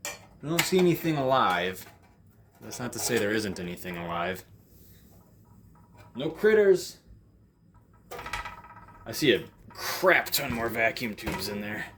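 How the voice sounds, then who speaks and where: Lowish-voiced man rambling over noisy audio. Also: very animated, unhurried, pauses often, fairly bright tone. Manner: rambling, self-deprecating. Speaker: host of an electronics repair vlog. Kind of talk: casual unscripted hands-on commentary. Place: indoors in a basement workspace.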